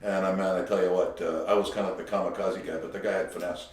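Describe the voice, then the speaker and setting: A Canadian man hosting a podcast, chatting casually and telling brash, animated stories with plenty of swearing. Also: low voice, fast. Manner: brash, animated storyteller who swears freely. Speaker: podcast host. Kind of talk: casual interview chat. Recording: very echoey room.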